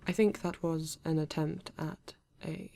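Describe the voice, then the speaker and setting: A woman's voice: sits low, steady tone, gravelly, mellow, dry recording. A woman playing a male android police detective with a British accent, formal, precise and proud yet earnest, in an ASMR roleplay. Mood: assured, proud. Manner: formal, precise, measured, avoids contractions.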